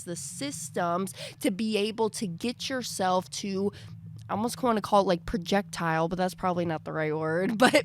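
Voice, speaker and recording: A woman's voice: mid-range voice, dry recording. Stuffy-nosed woman podcast host. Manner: chatty, folksy, says y'all often. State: congested, stuffy from allergies. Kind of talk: casual podcast talk to viewers. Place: screened-in patio at a house.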